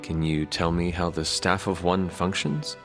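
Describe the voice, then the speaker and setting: Low-voiced, earnest game hero. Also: male voice, little pitch movement, unhurried. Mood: curious, calm. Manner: plain, polite, inquisitive. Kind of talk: video game character dialogue, measured questions. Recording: music underneath.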